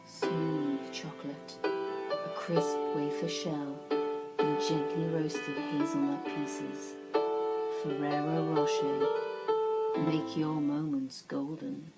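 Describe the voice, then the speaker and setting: A woman's voice: low-pitched, flat delivery, takes it slowly, rough recording. A woman reading an indulgent luxury advert script as a commercial voice-over. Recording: studio read under loud music.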